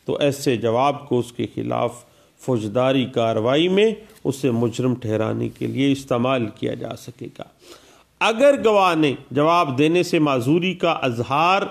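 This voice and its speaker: A man giving a law lecture, explaining legal rules step by step and mixing in English legal terms. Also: mid-range voice. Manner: explanatory, repeats statute citations, Urdu legal terms with English words.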